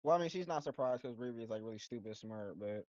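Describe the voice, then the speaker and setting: A man riffs on a show in loose, slangy chatter, often breaking into laughter. His voice sits mellow and dark. The recording is dry and somewhat muffled. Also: mid-range voice, animated, fast, no room sound. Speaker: co-host of a reaction channel. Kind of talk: casual reaction chat.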